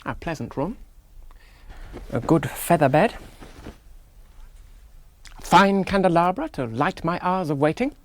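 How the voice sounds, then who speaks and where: An actor playing a rational, jaunty young English army gentleman in a radio drama, musing aloud in a clipped, wry English accent. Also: on the high side, lively intonation, slow pace, pauses often. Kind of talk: 1970s radio drama monologue.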